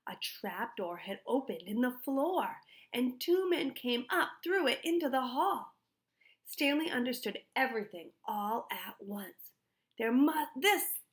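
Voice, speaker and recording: A woman reading a children's book aloud in an expressive storytelling style. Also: a little high. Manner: pauses to put questions to young listeners. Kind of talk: expressive read-aloud narration.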